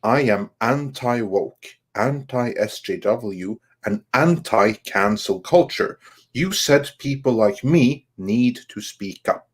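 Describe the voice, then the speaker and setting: A man co-hosting a live stream, reading viewer comments aloud in a halting way and responding casually. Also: mid-range voice, lively intonation, slightly rough. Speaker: co-host reading viewer messages.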